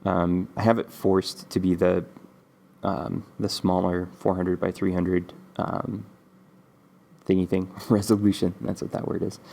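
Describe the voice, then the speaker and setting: Man, very low gravelly voice, congested from a cold; easygoing, rambling tutorial host. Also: unhurried. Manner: relaxed, rambling with many ums. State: stuffy from a cold. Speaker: game development tutorial host. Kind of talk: casual screen-recorded coding tutorial.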